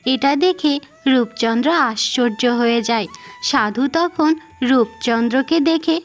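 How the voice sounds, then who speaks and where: A woman's voice: high voice, measured pace. A woman reading scripted fairy-tale narration as the storyteller of a cartoon. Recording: music behind the voice.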